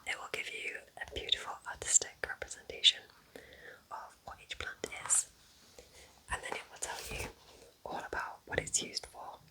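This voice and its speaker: A woman whispers breathily in a gentle, chatty ASMR roleplay as a kind witch at home in her cabin. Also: normal speed, low-quality recording. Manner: gentle, chatty, informal asides.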